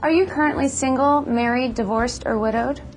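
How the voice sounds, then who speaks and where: A woman's voice: high-pitched, normal speed, soft background music, lightly acted. A woman playing a courteous, businesslike immigration officer in a scripted educational dramatization, speaking in a formal, procedural way.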